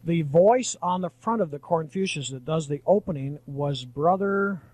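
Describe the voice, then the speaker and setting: Give me a mature male radio veteran with a lightish high voice, telling rambling stories on air. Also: normal speed, darkish tone, dry recording, poor audio. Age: middle-aged or older. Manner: rambling, anecdotal. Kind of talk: reminiscing on-air chat.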